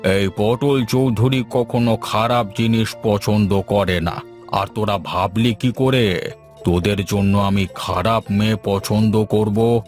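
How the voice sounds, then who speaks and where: A man voicing a fond, self-assured old village father in a cartoon fairy tale, drawn-out and coaxing. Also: on the low side, fairly even intonation, darkish tone, faint music behind. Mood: proud, questioning.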